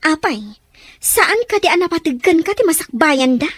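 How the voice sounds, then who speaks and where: Actress as a high-voiced pleading young daughter. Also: female voice, very animated, normal speed, fairly bright tone, no room sound, full character voice. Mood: pleading, reproachful. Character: earnest defiant daughter pleading against her father's raids. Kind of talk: amateur drama dialogue in Ilocano. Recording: outdoor recording with faint noise, birdsong.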